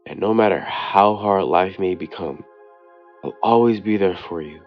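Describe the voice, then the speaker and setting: A man playing a devoted boyfriend who leaves an intimate late-night voicemail, speaking earnestly and tenderly with hesitant pauses. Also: sits low, muffled recording, close to an everyday voice. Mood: loving, reassuring. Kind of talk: intimate boyfriend audio roleplay, slow monologue. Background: soft new-age music.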